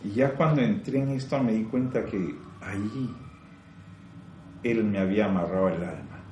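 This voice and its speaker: Male spiritual teacher, low voice, echoey room, slow emphatic delivery, rhetorical questions. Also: warm tone, slight background noise. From Peru. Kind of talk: spiritual teaching talk to a group.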